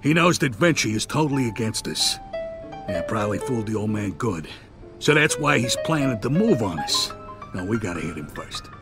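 Low-voiced American mob underboss. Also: male voice, very animated, moderate pace, over music. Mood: scheming. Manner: casual, streetwise tough talk. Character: middle-aged male mob underboss, friendly but calculating. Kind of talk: cinematic crime game dialogue.

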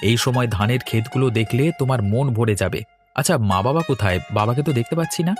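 A man's voice: medium pitch, fast talker, close to an everyday voice. A man voicing an affectionate, easygoing grown son in a Bengali cartoon story, with a Bengali accent. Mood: cheerful. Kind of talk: Bengali animated story voice acting. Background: plucked-string music under the voice.

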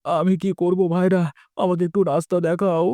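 A man's voice: high-pitched, flat delivery, moderate pace, a little mellow, dry recording, studio quality, natural voice. A man playing a distraught village father who pleads and laments in a cartoon. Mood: anguished, remorseful. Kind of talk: cartoon dialogue acting.

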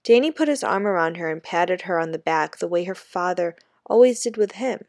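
A woman's voice: lowish voice, expressive, brisk, darkish tone, dry recording. Woman reading a children's book aloud, dry sound.

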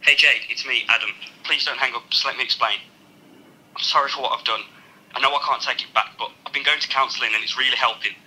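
A man's voice: medium pitch, fairly even intonation, fast, sharp tone, breathy edge, low-quality recording. A young man acting in a radio drama, playing a pleading, manipulative ex-boyfriend through a telephone filter. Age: young adult. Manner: pleading, persuasive.

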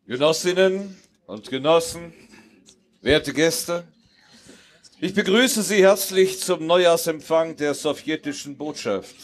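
A man's voice: on the high side, takes it slowly. Man playing florid, genial Soviet ambassador. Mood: ceremonious. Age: middle-aged. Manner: formal, florid, speech-making. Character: genial, ceremonious Soviet ambassador hosting a New Year reception. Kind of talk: live radio play performance. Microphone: headset on an outdoor festival stage.

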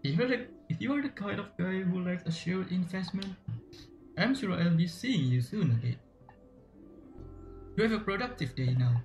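Brief: streamer reading walrus hustler, Indonesian accent, gravelly, faint music behind. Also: medium pitch, expressive, darkish tone, close to an everyday voice. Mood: salesy. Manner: slow, halting reading with restarts. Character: street-smart walrus hustler, male, smooth-talking.

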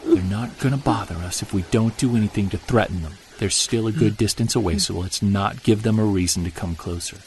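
A man acting as a commanding wilderness monster hunter in an audio drama. His voice sits fairly low, terse and urgent, giving orders with tense authority. Clear outdoor ambience runs behind him. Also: a little fast, few pauses, a little mellow, slight background noise, close to an everyday voice. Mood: firm, controlled. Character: tense, commanding male Bigfoot hunter in the woods.

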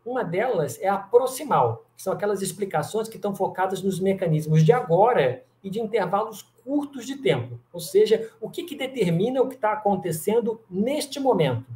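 A man's voice: sits high, animated, fairly quick, few pauses, darkish tone. Man lecturing in an echoey room. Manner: explanatory, many fillers and restarts. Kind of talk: online lecture over slides. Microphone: webcam on video call.

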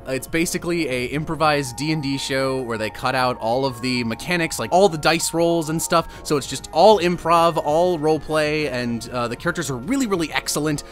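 A man's voice: a little high, normal speed, faint music behind. A man giving a casual, enthusiastic and earnest thank-you to viewers as the host. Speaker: host, animator.

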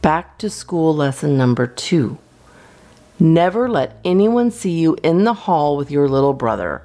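A woman's voice: sits low, very animated, measured pace, warm tone. A woman reading a children's storybook aloud expressively, as a storytime reader. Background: guitar music.

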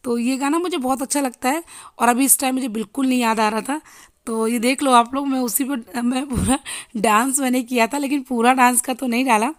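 A woman in her thirties with a hoarse voice, chatting casually to viewers in a home vlog, filmed on a handheld phone. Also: high-pitched, fairly even intonation, a little fast, no echo, studio quality. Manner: chatty, addressing viewers directly. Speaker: vlogger. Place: home kitchen and living room. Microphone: handheld phone selfie.

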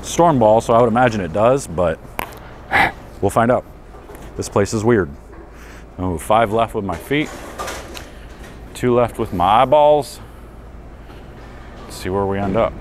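A man's voice: on the low side, expressive, a little slow, long pauses. A man in his forties giving an easygoing, unscripted product review in a casual, conversational way. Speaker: product reviewer and bowling coach. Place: indoors in a pro shop and bowling alley. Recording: clear background noise of bowling alley.

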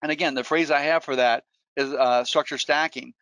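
A man's voice: a little high, fairly even intonation, fairly quick, a bit bright, clean tone, dry recording. A middle-aged man, a real estate investor, giving an earnest webinar talk and telling stories with frequent restarts. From Colombia. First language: Spanish. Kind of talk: webinar presentation.

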